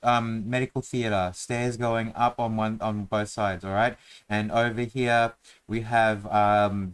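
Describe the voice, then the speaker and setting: A man's voice: medium pitch, smooth. A man hosting a casual chat while drawing a dungeon map, talking in a playful, rambling, self-mocking way.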